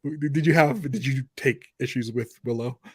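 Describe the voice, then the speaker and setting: A man talking casually about TV and film, in informal, halting phrases. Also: mid-range voice, breathy edge, no room sound. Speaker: podcast speaker.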